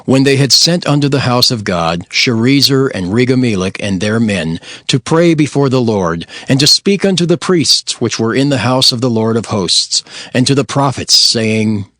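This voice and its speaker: A man narrating scripture in a measured, formal, scripted style. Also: mid-range voice, lively intonation, a bit bright, no echo, studio quality.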